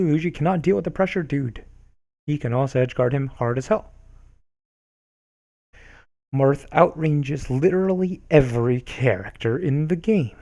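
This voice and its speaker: Guy reading forum posts deadpan, gravelly voice, pitch jumps all over. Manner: reads punctuation and likes aloud flatly. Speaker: reader of online posts.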